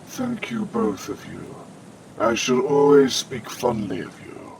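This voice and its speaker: A man playing a grateful, solemn alien scavenger in an audio drama, with a slow, gravelly voice. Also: huge pitch swings, exaggerated voice. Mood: solemn gratitude. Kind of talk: scripted audio drama acting. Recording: noisy audio with low rumble.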